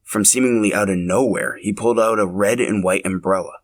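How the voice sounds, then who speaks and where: Man, lower-pitched, crisp bright tone, dramatic scripted storytelling, expressive, dry sound. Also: a little fast, dry recording. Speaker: podcast host telling a story.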